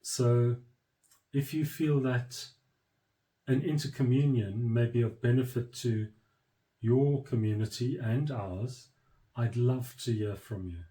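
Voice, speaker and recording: A man speaking as a church leader in an earnest, measured address, pausing often between short phrases and turning firm on commitment. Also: medium pitch, dark tone.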